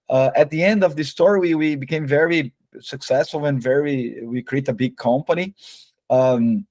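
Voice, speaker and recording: A man's voice: medium pitch, a little mellow, no room sound. Young Brazilian man, Portuguese accent, hesitant non-native interview guest, dry sound. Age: young adult. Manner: hesitant, repeats words and uses many fillers. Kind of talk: casual interview.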